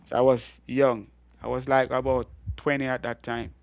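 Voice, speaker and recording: A middle-aged Jamaican man with a deep voice, telling animated stories as a guest in an interview. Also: normal speed, gravelly. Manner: animated storytelling with frequent laughs and restarts. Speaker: guest reggae artist. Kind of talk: storytelling interview.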